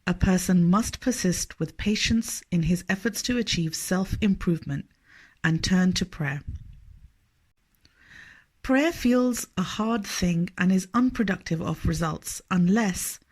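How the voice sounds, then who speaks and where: A woman's voice: on the low side, a little mellow, breathy edge. Woman reading a formal religious study. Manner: measured, formal reading aloud. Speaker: reader of a religious study text. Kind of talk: scripted narration of a scripture study.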